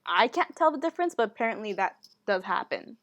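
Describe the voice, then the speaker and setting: A young woman co-hosting a podcast, telling stories in a relaxed, casual way and often saying "like". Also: medium pitch, fast talker. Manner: relaxed storytelling, heavy use of "like". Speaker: podcast co-host. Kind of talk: casual chat.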